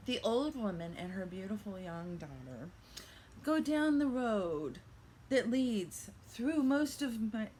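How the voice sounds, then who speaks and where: A woman's voice: medium pitch, very animated, slow, a little mellow, a little hiss or hum. An older woman telling a playful fairy-tale style story with theatrical narration and chatty asides. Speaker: vlogger telling a reselling story.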